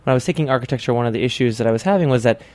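A man's voice: medium pitch, rapid-fire, darkish tone, no room sound. Young male American guest, conversational, restarts sentences as he goes, dry studio-close sound. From Hawaii, United States. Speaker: interview guest, interior designer. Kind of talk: casual interview chat.

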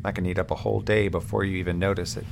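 A lone crewman on a moonbase in a sci-fi audio drama. His voice is low and full of creak, wry and exasperated as he rambles to himself. A faint ambient noise sits behind him. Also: little pitch movement, racing. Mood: musing, absorbed. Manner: wry, rambling, muttering, thinking aloud.

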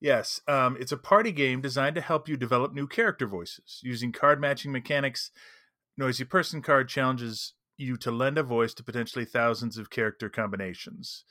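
A man's voice: medium pitch, brisk, sharp tone, dry recording, studio quality. A man co-hosting a podcast, chatting casually and emphatically about tabletop role-playing. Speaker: podcast co-host.

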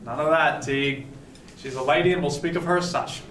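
A man's voice: a little high, a little fast, a bit bright, a little hiss or hum, close to an everyday voice. A man playing a hearty, respected first mate in a period seafaring radio drama, speaking with genial authority and nautical phrasing. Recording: echoey room with faint music.

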